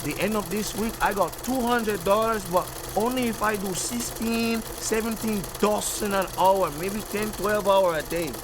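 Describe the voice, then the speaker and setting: A woman's voice: medium pitch, normal speed, few pauses, lightly acted. An actress playing a worldly-wise working mother in a garment shop, streetwise and matter-of-fact, with a Spanish-accented New York English, in a comedic radio drama. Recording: noisy rattling sewing-machine workshop ambience.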